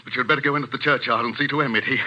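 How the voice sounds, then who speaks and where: A man's voice: medium pitch, fairly even intonation, racing, sharp tone, slight background noise. An actor playing an authoritative, skeptical middle-aged clergyman and father, formal and commanding in 1940s radio style. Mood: shaken, urgent. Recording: muffled vintage radio recording.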